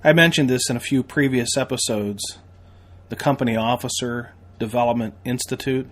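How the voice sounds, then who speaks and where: Emphatic American man pitching on a podcast. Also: steady tone, moderate pace. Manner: emphatic, folksy sales delivery with repetition and rhetorical questions. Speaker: podcast host and firefighter training instructor. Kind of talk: promotional podcast monologue.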